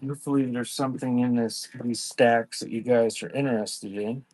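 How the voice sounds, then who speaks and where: A man running a casual live-stream sale, talking in an offhand, halting way and thanking viewers by name. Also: mid-range voice, fairly even intonation, a little mellow, no room sound, rough recording. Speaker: live online seller.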